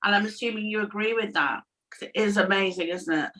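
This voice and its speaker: A woman's voice: medium pitch, quick pace, sharp tone. An older woman with an English accent, chatting as a panel host on a video call. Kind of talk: casual conversational interview. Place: home room, indoors, on a video call. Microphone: video call device at a distance. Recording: distant video-call microphone.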